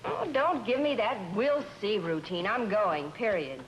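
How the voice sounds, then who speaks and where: An actress playing a bored, sharp-tongued American wife in an old radio drama, blunt and sarcastic. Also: female voice, on the low side, lively intonation, normal speed, lo-fi sound, close to an everyday voice. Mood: scornful.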